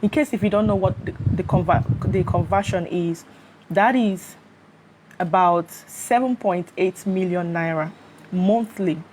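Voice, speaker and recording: A Nigerian woman with a slightly low voice hosts a casual live stream from her phone, greeting viewers and checking that they can hear her. A fan hums steadily behind her. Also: background noise. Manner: chatty, often greets viewers and checks the sound.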